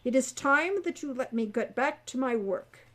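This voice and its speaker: A woman's voice: sits high, expressive, moderate pace, fairly bright tone. A woman voicing a stern, angry centaur father in a storybook, with formal, scolding speech.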